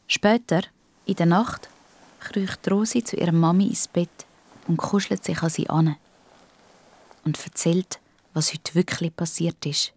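A woman's voice: fairly low pitch, steady tone, unhurried, pauses often, darkish tone, breathy edge. A woman with a Swiss German accent narrating a scripted children's story.

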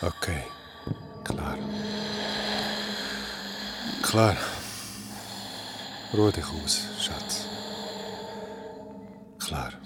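Ein Schauspieler mit Schweizer Färbung spielt in einem Hörspiel einen besorgten Ehemann und Pfleger, stockend und sich wiederholend. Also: male voice, rumbling low, lively intonation, heavy background noise, exaggerated voice. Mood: anxious, solicitous. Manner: halting, fussing, repeating himself. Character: middle-aged or older husband, anxious, hurried caretaker. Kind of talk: radio drama acting.

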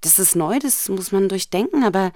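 A woman's voice: mid-range voice, animated, moderate pace, warm tone, no echo, clean studio sound. A woman speaking conversationally, asking questions and commenting.

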